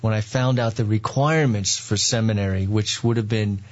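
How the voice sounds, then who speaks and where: A man with a New York accent, a priest, telling his story in an interview with many pauses and fillers. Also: lowish voice, fairly even intonation, dull audio. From Long Island, New York. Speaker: priest, guest.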